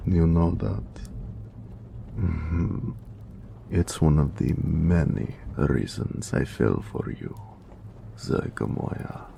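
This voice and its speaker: A big orc blacksmith acted by a man speaks in a low, gravelly for a romantic audio roleplay. He teases and dotes on his lover playfully, with very lively swings of pitch. Some ambient noise sits behind the voice. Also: drawn-out words, pauses often, heavily acted. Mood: tender, flirtatious. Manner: playful, flirtatious, frequent chuckling.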